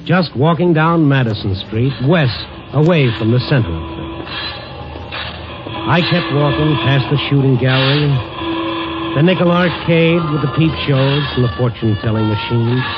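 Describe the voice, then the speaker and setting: A man's voice: medium pitch, moderate pace, natural voice. A man acting a streetwise, world-weary American newspaper reporter with a wry, hard-boiled manner in 1950s radio noir style. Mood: brooding, wry. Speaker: lead actor and first-person narrator. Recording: old muffled radio recording, music behind.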